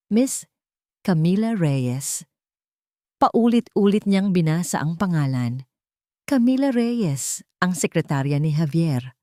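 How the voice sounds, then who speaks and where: Female Filipino storyteller, lower register, dry close sound, dramatic scripted romance narration. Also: dark tone, no echo.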